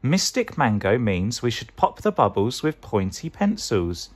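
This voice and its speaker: A man voicing an excitable, dramatic male tomato in a children's storybook. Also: mid-range voice, unhurried, slightly rough. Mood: excited, urgent. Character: excitable male tomato, eager and easily upset.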